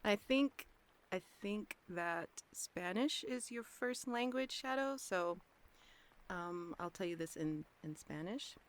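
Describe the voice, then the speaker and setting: A woman's voice: on the low side, lively intonation, slow pace. Young adult female host, bubbly and giggly, reads listener questions, casual. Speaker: interviewer, host. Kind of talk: casual interview chat.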